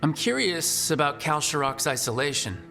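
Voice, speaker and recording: A man's voice: fairly high pitch, sing-song, normal speed, creaky, bright, forceful tone, exaggerated voice. An actor playing a direct, wry young male hero in a video game, in a conversational manner. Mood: curious, concerned. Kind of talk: naturalistic video game acting. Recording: music behind the voice.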